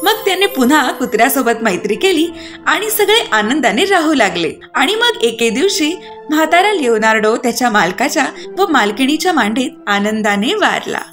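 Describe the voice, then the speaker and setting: Lady telling a kids story, high pitched n very expressive, music clearly playing behind. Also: normal speed. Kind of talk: scripted children's story narration.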